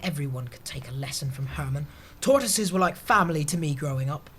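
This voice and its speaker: A woman's voice: deep voice, expressive, brisk, a bit bright, slightly rough, heavily acted. A woman playing an earnest, gormless and slightly defensive junior office assistant in a scripted audio comedy. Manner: earnest, hesitant, mildly indignant.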